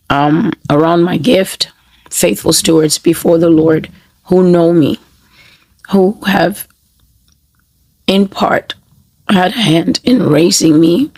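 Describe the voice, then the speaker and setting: A woman minister with a hoarse voice she says she has lost, speaking a sermon to camera in slow, measured, repetitive phrases. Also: fairly low pitch, darkish tone, dry recording, low-quality recording. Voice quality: raspy, breathy and gravelly. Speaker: prophetic minister. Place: New York City.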